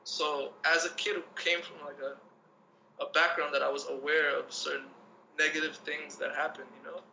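Man, 30s, interview guest; bright, edgy tone, a little high; relaxed and rambling, faint background hiss. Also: normal speed, creaky, rough recording. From Lebanon. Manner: laid-back, rambling, many fillers like "you know what I'm saying". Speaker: guest, breakdancer. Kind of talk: casual interview chat.

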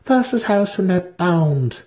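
A man voicing a scheming, purring female dark elf matron in a fantasy audiobook. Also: sits high, expressive, slow, voice pushed up. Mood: purring, sly. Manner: purring, assertive. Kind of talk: dramatic fantasy audiobook reading.

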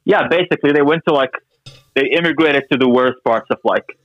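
A man's voice: mid-range voice, brisk, no echo, lo-fi sound. A young man from Syria, a podcast guest telling family stories with dry jokes in casual chat. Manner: dry, joking storyteller.

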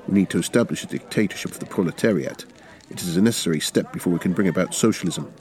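A man voicing a menacing, glaring revolutionary leader in a story reading, accusing and forceful in manner. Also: deep voice, racing, close to an everyday voice. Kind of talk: dramatic short story reading.

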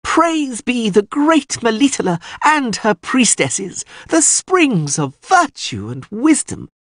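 A man's voice: very high voice, very animated, slow pace, fairly bright tone, no echo, clean studio sound, pitched above the performer's own voice, full character voice. A man voicing a cheeky, boastful troubadour in a storybook with theatrical, playful exaggeration. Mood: mock reverent. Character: flamboyant, cheeky male troubadour, boastful and jovial.

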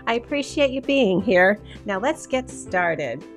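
A woman's voice: medium pitch, animated, measured pace, music in the background. A woman hosting a health coaching podcast, reading a scripted intro and then chatting with a guest in an upbeat manner.

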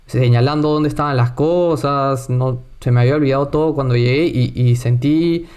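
A man hosts a relaxed conversation about travel. His voice sits at an ordinary middle pitch. He tells stories in an animated way, full of playful asides and quick self-corrections. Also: smooth. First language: Spanish. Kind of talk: casual travel chat.

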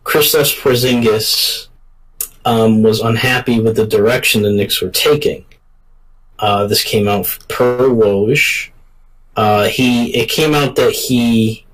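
A man hosting a casual sports talk show, speaking in a deliberate, halting way. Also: medium pitch, a bit bright, distant mic, low-quality recording. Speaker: sports podcast host.

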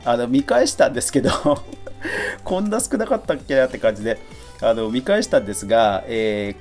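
A man's voice: mid-range voice, a little mellow. A middle-aged man hosting a podcast, talking casually and conversationally on his own with a self-deprecating touch. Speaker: podcast host. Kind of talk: casual solo talk answering a listener letter.

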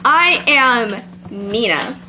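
A woman's voice: a little high, sing-song, very slow, background noise. A teenage girl hosting a homemade podcast, rambling through a school story with lots of giggling. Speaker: podcast host. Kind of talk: casual chat.

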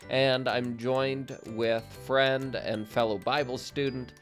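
A man's voice: mid-range voice, level tone, slow pace, soft background music. A young man hosting a faith podcast, speaking earnestly in casual talk, often restarting sentences and using fillers. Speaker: podcast host.

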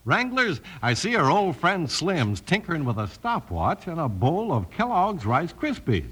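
A man with an American accent and a husky voice, announcing in scripted, folksy mid-century radio style. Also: unhurried, faint music behind. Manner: animated, folksy sales pitch. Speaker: announcer and narrator.

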